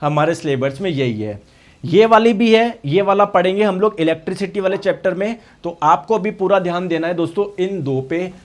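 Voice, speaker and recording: A male teacher with a high voice and a hoarse throat, giving a lively science lecture. He poses questions and answers them himself, and he gives every key term twice, once in Hindi and once in English. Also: moderate pace. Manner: asks and answers his own questions; addresses students as friends. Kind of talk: online exam-prep class.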